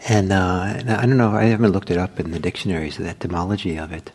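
An older man, originally from Norway and a native Norwegian speaker, giving an informal meditation talk in a gentle, unhurried way with many pauses. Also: deep voice, steady tone. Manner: gentle, hesitant, with frequent pauses and fillers. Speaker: meditation teacher. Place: meditation hall.

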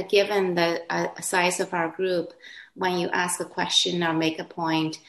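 Class moderator: low-pitched woman, first language Chinese, slow, gentle and hesitant, roomy echo on a video call. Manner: gentle, hesitant, with soft laughs. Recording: echoey room on a video call.

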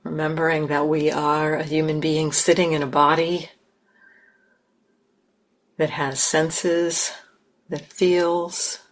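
Low-voiced woman guiding meditation very slowly. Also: little pitch movement. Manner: measured, with long pauses between short phrases. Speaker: meditation teacher. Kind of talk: guided meditation.

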